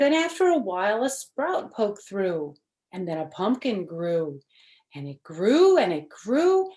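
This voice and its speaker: A woman reads a children's picture book aloud like a primary teacher. Her voice sits a little high and swings expressively, with slow, deliberate phrasing. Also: darkish tone. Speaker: storyteller, first-grade teacher.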